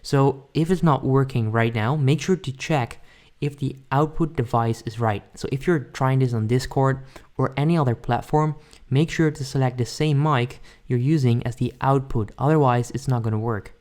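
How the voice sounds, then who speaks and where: A man narrating a casual software tutorial, talking informally and directly to viewers as he gives instructions. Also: medium pitch, normal speed. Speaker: tutorial host.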